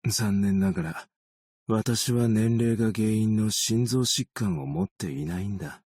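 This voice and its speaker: A man voicing a weary, gentle, long-lived optician in a video game, measured, understated and quietly melancholic. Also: on the low side, husky, dry recording. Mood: calm, dry. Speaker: video game character voice actor. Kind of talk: scripted game character voice lines.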